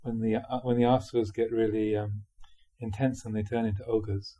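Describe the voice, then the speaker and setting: A man reading Buddhist teachings aloud like a monk giving a dharma talk, with measured, emphatic phrasing. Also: on the low side, level tone, dark tone.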